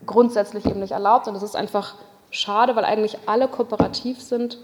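A woman speaks at an average pitch in a hall with long reverb. She argues off the cuff as a councillor in a meeting, pressing her case in colloquial, run-on sentences. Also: steady tone, brisk. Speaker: local councillor. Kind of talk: spontaneous argument in a committee meeting.

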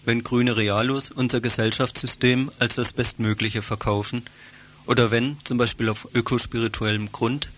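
A man's voice of medium pitch reads out a scripted political commentary. He speaks formally, in measured written sentences, as a radio commentator would. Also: fairly bright tone.

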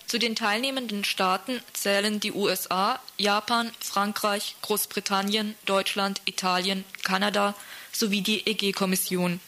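A woman reads a prepared report for a radio news programme. Her voice is notably bright and sharp, sitting at an ordinary female pitch. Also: fairly even intonation, unhurried. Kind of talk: scripted news report read aloud.

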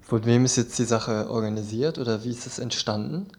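A man's voice: mid-range voice, brisk, darkish tone. A man interviewing guests in a casual, conversational radio style.

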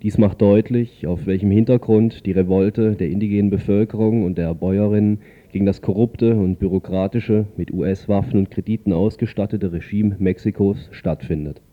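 Male news reporter, low register, scripted read, dull lo-fi radio sound. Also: fairly even intonation, moderate pace, hardly any pauses.

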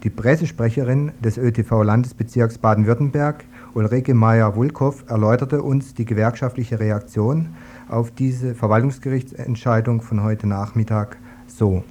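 A man reads a scripted radio news report at a steady, measured pace. His voice is mellow and warm, sitting in an ordinary middle range for a man. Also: medium pitch, a little hiss or hum. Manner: steady, read-from-script delivery.

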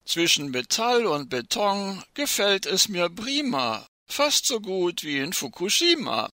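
A man plays a sly personification of danger, a falsely courteous guest with a creaky, bright-edged voice. The rhymed verse comes theatrically, with wide swings in pitch, and each phrase is savoured with menacing politeness. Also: very animated, slow pace, no room sound, studio quality, full character voice. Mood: gleefully inviting. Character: personified nuclear residual risk, sinister, falsely courteous uninvited guest. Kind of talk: theatrical recitation of a rhymed satirical poem.

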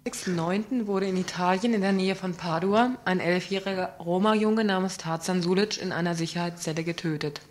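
A woman's voice: lowish voice, steady tone, brisk, hardly any pauses, darkish tone. A woman reading a scripted report on a community radio news program, earnest and questioning, with small slips.

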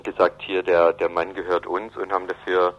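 A man giving a hesitant, analytical explanation in an unscripted radio interview, often pausing and rephrasing. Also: sits low, flat delivery. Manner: hesitant, halting, with frequent ums and rephrasing. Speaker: interviewee explaining South American politics. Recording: dull, band-limited radio recording.

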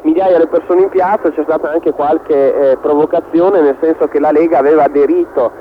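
A man's voice: a little high, steady tone, fairly quick. Over a thin phone-like line with slight noise, a man answers interview questions. He speaks spontaneously, as a demonstrator giving an account.

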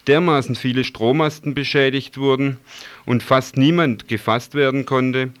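A man's voice: medium pitch, a bit bright. A man reporting radio news and asking interview questions as a reporter.

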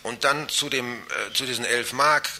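A man with a very bright, forceful voice at a medium pitch. He gives spontaneous interview answers as a local association spokesman, haltingly, often restarting and rephrasing. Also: slight background noise. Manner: halting, repeats and rephrases himself. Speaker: citizens' association chairman, interview guest.

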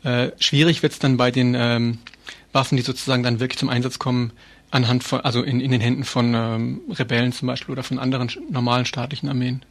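Male interviewee, informal explainer, rapid and level in pitch, rephrases often. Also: flat delivery. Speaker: interviewee, arms-trade researcher and activist.

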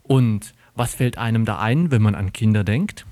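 A man's voice: lowish voice, lively intonation, normal speed, warm tone, no echo. Wry male reporter, dry studio sound. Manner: wry, ironic. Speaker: radio reporter. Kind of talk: scripted satirical narration.

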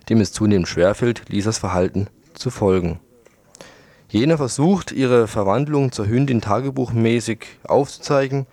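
A man's voice: mid-range voice, darkish tone, dry recording. A man reading a scripted book review on the radio in a wordy, literary manner.

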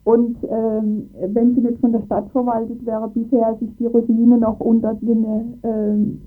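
A woman's voice: a little high, monotone, unhurried. Casting: woman, hesitant local interviewee, narrow phone-like sound with faint music. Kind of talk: political discussion in a radio interview. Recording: tinny phone-like band, music behind.

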